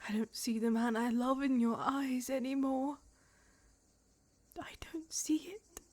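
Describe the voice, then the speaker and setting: A woman playing a breathy, wounded, indignant royal wife. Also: sits high, fairly even intonation, a little slow, a little mellow. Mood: heartbroken. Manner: breathy, half-whispered, theatrical and accusing. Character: betrayed royal wife, proud, indignant, heartbroken. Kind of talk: dramatic fantasy roleplay monologue.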